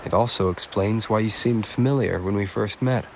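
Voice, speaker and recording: A man's voice: fairly low pitch, normal speed, gravelly, background noise. An actor voicing an observant, guarded man in a video game, speaking in a matter-of-fact, assured way. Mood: knowing, guarded.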